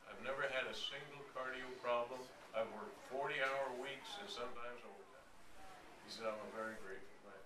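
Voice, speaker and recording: A man's voice: mid-range voice, fairly quick. A middle-aged man giving an informal, anecdotal public lecture as a conference speaker. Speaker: conference speaker, author on stem cell therapy. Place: hotel conference room, at a podium. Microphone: audience camera from several meters away. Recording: echoey room, distant camera mic, noisy.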